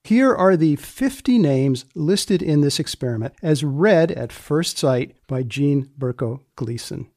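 A man hosting a podcast, moving between scripted narration and interview questions in a deliberate, dryly teasing way. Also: on the high side, expressive, a little mellow, no echo, studio quality. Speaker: podcast host.

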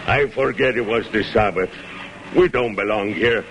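An actor playing a brash pirate captain with a Spanish accent and blustering broken English in a radio drama. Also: male voice, lowish voice, moderate pace, fairly bright tone. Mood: flustered. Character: brash Spanish-speaking pirate captain, gruff but courteous. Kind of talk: old-time radio drama acting. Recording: noisy old radio recording.

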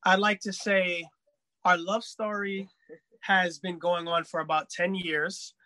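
A man in his thirties, a guest in a casual podcast chat, telling stories in an animated way and laughing often. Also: high voice, takes it slowly, dry recording, rough recording. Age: 30s.

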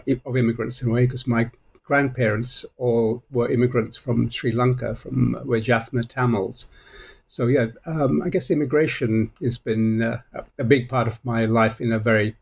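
A man from Malaysia in his sixties, a political theory professor answering interview questions haltingly, with many pauses. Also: mid-range voice, vocal fry, slightly rough. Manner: hesitant, many fillers and restarts.

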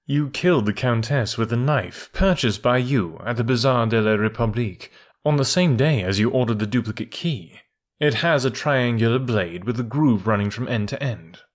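A man's voice: mid-range voice, moderate pace, close to an everyday voice. A man doing a dry, hard ex-inspector. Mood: menacing. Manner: dry, hard, firm and precise. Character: hard middle-aged male ex-detective blackmailer.

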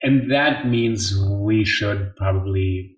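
A man in his fifties from Germany, a native German speaker, interviewing in a conversational, halting way that often rephrases. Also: on the low side. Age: 50s. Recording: roomy, echoing live room.

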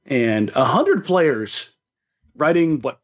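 Halting male sports co-host, slightly dull audio. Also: mid-range voice, sing-song. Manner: halting, repeats words while thinking. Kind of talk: casual sports chat.

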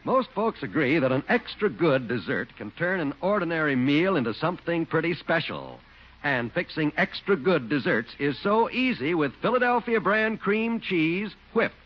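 A man's voice: on the high side, very animated, normal speed. An American man reading as an old-time radio announcer, with a polished, emphatic sales delivery. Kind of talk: 1940s-50s radio commercial narration. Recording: dull old radio recording with music.

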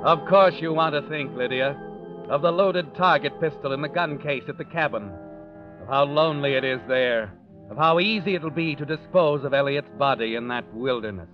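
A muffled vintage radio recording with clear music under it. An actor plays a male suspense narrator, knowing and sinister, slipping insinuations to the listener in a slightly raised pitch. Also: normal speed, natural voice. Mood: knowing, ominous. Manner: insinuating second-person address.

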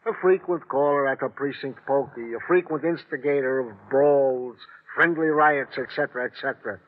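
An actor playing a wordy, sentimental police detective with florid, oddly poetic phrasing in an old radio drama. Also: male voice, medium pitch, normal speed, natural voice. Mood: dutiful reporting. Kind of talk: old-time radio acting. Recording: tinny, band-limited vintage radio recording.

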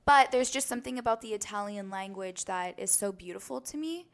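Gravelly-voiced young Canadian woman. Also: medium pitch, fairly quick. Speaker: podcast co-host. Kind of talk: casual chat.

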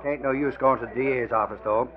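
A man's voice: mid-range voice, fairly even intonation, fast, natural voice. A man playing an eager, talkative, slangy newspaper reporter in an old 1940s radio drama. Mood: animated, puzzled. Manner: slangy, folksy delivery. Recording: dull old radio recording.

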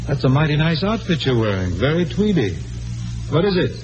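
Brash young doctor, lively intonation. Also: male voice, a little high, fairly quick, lo-fi sound. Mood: teasing. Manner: breezy, teasing, colloquial. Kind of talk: old-time radio drama acting. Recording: vintage radio recording with music.

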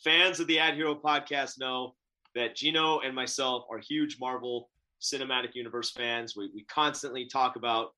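A man's voice: mid-range voice, steady tone, moderate pace, bright, forceful tone, poor audio. A man co-hosting a podcast over a video call, eager and chatty, laughing often and restarting his sentences. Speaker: podcast co-host. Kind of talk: casual interview chat. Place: home office in the Bay Area.

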